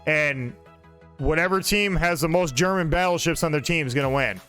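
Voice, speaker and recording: Man, forties, higher voice, casual gameplay commentary, rambling, joking, faint music behind. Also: normal speed. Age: 40s. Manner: relaxed, rambling, joking with a friend. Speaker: gaming channel host.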